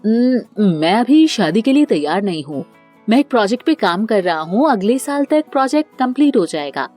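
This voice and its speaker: A woman's voice: medium pitch, sing-song, moderate pace, a little mellow, faint music behind. Woman doing evasive, placating young boyfriend. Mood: casual, evasive. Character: evasive young office man, smooth, excuse-making boyfriend. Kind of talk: cartoon family drama storytelling.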